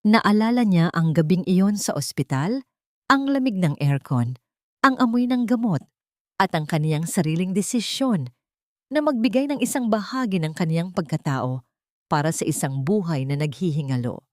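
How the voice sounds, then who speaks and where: A woman's voice: fairly low pitch, animated, moderate pace, no echo, clean studio sound. A woman with a Filipino accent narrating a dramatic story from a script.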